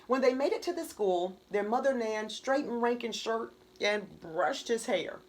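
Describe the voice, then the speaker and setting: Lively woman reading a storybook aloud. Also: a little high, a little slow. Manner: animated, dramatic read-aloud delivery. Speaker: children's author reading her own book. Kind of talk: expressive storybook narration.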